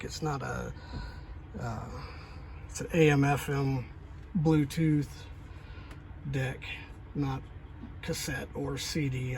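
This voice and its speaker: A middle-aged man talking through a DIY job in a casual, halting, plain-spoken way, thinking out loud. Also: medium pitch, creaky, background noise. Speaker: truck driver, DIY vlogger.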